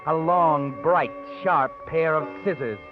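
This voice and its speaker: An actor playing an ominous, knowing narrator of a 1940s radio suspense story. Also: male voice, fairly high pitch, slow pace. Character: ominous, knowing male storyteller addressing the guilty. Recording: tinny old radio broadcast with music.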